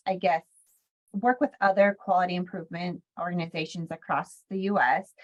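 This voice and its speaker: A woman presenting slides informally in a webinar, conversational and helpful, often hesitating and correcting herself as she goes. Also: medium pitch. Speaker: webinar presenter for a health care quality improvement organization. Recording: dull, lo-fi webinar audio.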